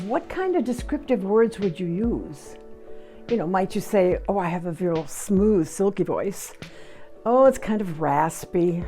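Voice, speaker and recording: A woman's voice: mid-range voice, mellow. A woman giving an educational presentation on the voice, speaking expressively and acting out example voices. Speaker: vocal coach presenter.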